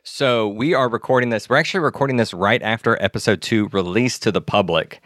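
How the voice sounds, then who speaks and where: Low-voiced easygoing male game master. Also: fairly bright tone, dry recording, clean studio sound. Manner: easygoing, measured, slows down when narrating. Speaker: dungeon master. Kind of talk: casual chat and game narration.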